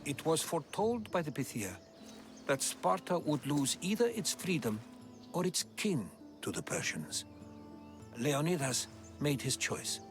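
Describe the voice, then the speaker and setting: Solemn Greek historian over music. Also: male voice, on the high side, very animated, unhurried. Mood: grave, storytelling. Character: learned ancient Greek historian, earnest storyteller, male. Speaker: storytelling companion character. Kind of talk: dramatic video game cutscene dialogue. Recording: game music behind the voice. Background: cinematic music.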